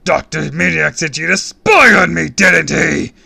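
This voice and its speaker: A man voicing a huge, volatile comic-book supervillain, loud and booming, bellowing explosive threats in a storybook reading. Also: unhurried, creaky, slightly rough, no echo, heavily acted. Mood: menacing.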